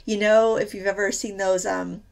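A woman's voice: mid-range voice, flat delivery, normal speed, gravelly. A woman hosting a painting tutorial, chatting casually with lots of fillers, a little stuffy from a cold. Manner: chatty, rambling, many fillers. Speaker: art tutorial host.

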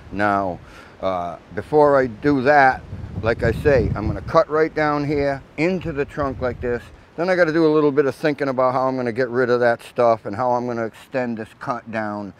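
Older male craftsman, low voice, plain practical explainer, outdoor lapel mic, faint background hum. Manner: plainspoken, practical, folksy asides. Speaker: shipwright demonstrating chainsaw work. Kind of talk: hands-on instructional explanation. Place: outdoors in a grassy yard by a mill.

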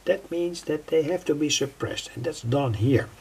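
An older man giving an unscripted hands-on tutorial in a halting, deliberate way, often repeating his phrases. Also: fairly high pitch, a little mellow. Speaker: electronics hobbyist presenter. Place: indoors at a home workbench. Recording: clearly noisy home recording.

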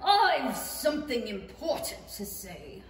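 A woman's voice: a little high, very animated, slow pace, full character voice. A woman playing a languid, haughty male caterpillar with a condescending drawl in a radio play. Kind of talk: 1930s radio play acting. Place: theatre stage, reading from script. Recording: echoey hall, distant, faint noise.

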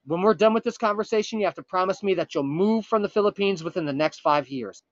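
A man playing a frantic, earnest time traveler from the future on a prank call, urgent, pleading and halting with dramatic pauses. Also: sits high, no room sound, lo-fi sound, natural voice. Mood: panicked urgency. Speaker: prank caller. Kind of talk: improvised comic prank phone call.

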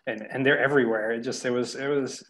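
Guest, forties, from Spain, self-deprecating and easygoing, mid-pitch, video call audio. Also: male voice, steady tone, fast talker, a bit bright. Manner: laughs often, self-deprecating. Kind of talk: casual storytelling. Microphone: headset on video call.

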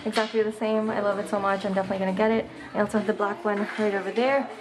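A woman's voice: medium pitch, flat delivery, very fast, background noise. A young woman vlogging casually, chatting upbeat with lots of fillers, filmed on a handheld camera. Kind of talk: casual vlog chat. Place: shopping mall and home living room. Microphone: handheld camera close up.

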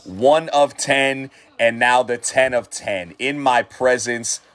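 A man hosting a card reveal from his shop, talking with excited hype and repeating his praise. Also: medium pitch, slow pace. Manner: hyped, repeats himself. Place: shop desk indoors.

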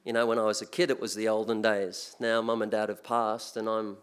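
A man's voice: on the low side, little pitch movement, fairly quick, clear, resonant tone. Older Australian man preaching conversationally. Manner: conversational, many restarts and fillers. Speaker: guest preacher. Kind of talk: sermon. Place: church sanctuary, speaking at a pulpit. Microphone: pulpit mic.

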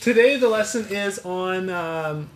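A man co-hosting a live drum lesson in a studio, relaxed and asking questions with fillers. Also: high voice, unhurried, echoey room, background noise. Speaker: lesson co-host.

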